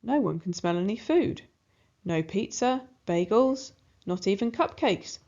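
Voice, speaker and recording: A woman narrating a children's storybook aloud in an expressive style. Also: mid-range voice, a little slow, highs cut off.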